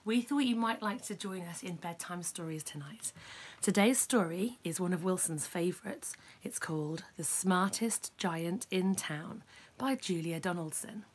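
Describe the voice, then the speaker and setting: A young woman reading a picture book aloud to her toddler in a child's bedroom, animated and coaxing, with quiet asides. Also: fairly low pitch, measured pace, slightly rough. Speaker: parent reading a bedtime story.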